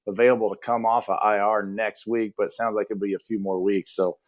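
A man's voice: fairly low pitch, level tone, fairly quick. A football coach with a scratchy voice, chatting upbeat and casual about his team on a regular sports segment.

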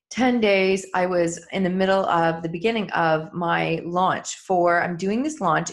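Middle aged woman coach, kinda low voice, honest heartfelt motivational talk, soft little laughs. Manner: candid, earnest, reflective, with soft laughs. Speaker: coach and author. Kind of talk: motivational solo talk to listeners.

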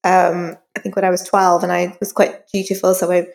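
A woman's voice: lowish voice, little pitch movement, brisk, creaky, darkish tone, long reverb tail. A woman in her forties with a Northern Irish accent, chatting casually as an interview guest, slightly congested from a cold. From rural Northern Ireland. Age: 40s. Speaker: crime novelist, interview guest.

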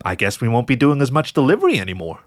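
A man's voice: mid-range voice, fast, no room sound. A man voicing a tired, exasperated male jackal with a dry, sarcastic way of questioning in an audio story. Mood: softened. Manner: weary, deadpan questioning.

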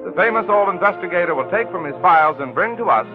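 Music plays behind a male 1940s radio announcer on a dull old recording. His voice is fairly high, with formal, theatrical scripted delivery. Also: animated, quick pace.

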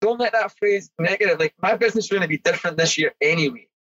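A man's voice: high voice, quick pace, a bit bright, far from the mic, muffled recording. A man from Scotland with a Scottish accent, talking casually as an interview guest and often tagging his points with "right?". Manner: chatty, often adds "right?". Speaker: guest, fitness and business consultant.

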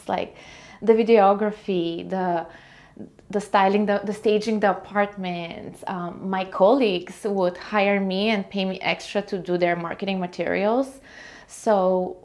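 A woman talks through her own story as a podcast guest, mid-pitched and sincere. She sounds upbeat, pausing on ums and restarting her words as she goes. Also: fairly even intonation, darkish tone. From Bulgaria. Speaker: guest entrepreneur. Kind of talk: casual interview storytelling.

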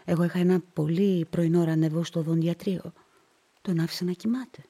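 A woman's voice: fairly low pitch, fairly even intonation, warm tone, breathy edge. An actress playing a middle-aged, newly widowed mother in a radio play, formal, composed and guarded.

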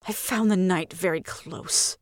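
A man voicing a proud, desperate and quietly defiant noblewoman in an audiobook, with a composed, breathy delivery. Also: high voice, a little slow, dry recording, studio quality, pitched above the performer's own voice. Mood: guarded, defiant. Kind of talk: audiobook character voice.

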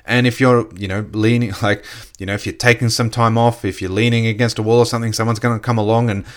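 Male coach, lowish voice, a bit croaky, relaxed filler-heavy motivational talk. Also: fast. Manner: casual, filler-heavy, lots of "you know". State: slightly croaky voice. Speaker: network marketing coach. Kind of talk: motivational solo podcast talk.

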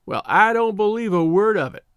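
Scornful storybook schoolboy, male narrator playing him, insolent and sulky, raised pitch, swooping delivery. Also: lively intonation, normal speed, a bit bright, smooth, dry recording. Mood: scornful, defiant.

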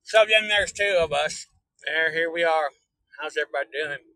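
A man's voice: fairly high pitch, normal speed, bright, forceful tone, no room sound, rough recording. A man with a put-on Southern hillbilly accent, rambling and swearing in casual livestream chat. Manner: rambling, profane. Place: inside a pickup truck.

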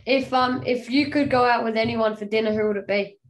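A woman's voice: a little high, steady tone, brisk. A woman interviewing a sports guest, asking short questions and giving brief encouraging replies.

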